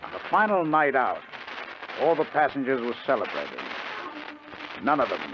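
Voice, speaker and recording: A man's voice: medium pitch, big pitch swings, normal speed, natural voice. An actor playing a confident crook who narrates his own scheme in a 1950s radio drama. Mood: tense, measured. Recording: muffled, noisy 1950 radio recording.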